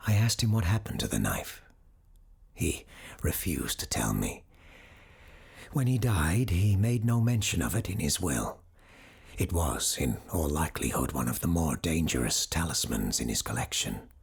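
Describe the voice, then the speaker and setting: A man playing a grave doctor who hosts an occult radio show, telling stories in a measured, theatrical, formal way with a gravelly, breathy voice. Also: a bass voice, big pitch swings, exaggerated voice. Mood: ominous, hushed. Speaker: radio host. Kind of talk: dramatic scripted storytelling in a radio drama.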